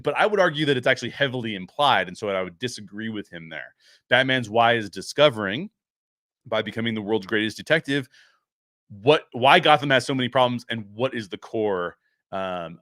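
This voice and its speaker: A man's voice: on the low side, bright, forceful tone, no echo, clean studio sound. A man hosting a pop-culture show, giving conversational film commentary in an emphatic, argumentative way, explaining through rhetorical questions.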